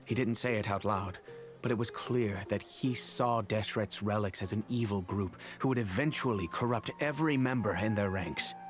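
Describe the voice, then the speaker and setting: An actor playing an earnest, remorseful, wealthy middle-aged father in a video game, speaking in a measured, formal way. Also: fairly low pitch, faint music behind, lightly acted. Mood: explanatory. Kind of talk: video game character acting.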